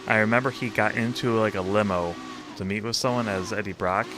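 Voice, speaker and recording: A man's voice: on the low side, steady tone, a little fast, background noise. A man hosting a casual gaming stream over a voice call, telling stories animatedly and laughing often. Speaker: streamer and host. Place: voice call with friends.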